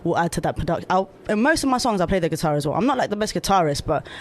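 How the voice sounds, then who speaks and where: Easygoing young woman. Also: fairly low pitch. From Colombia, raised in London. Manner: easygoing, animated, quick restarts. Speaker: singer-songwriter guest. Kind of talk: casual interview chat.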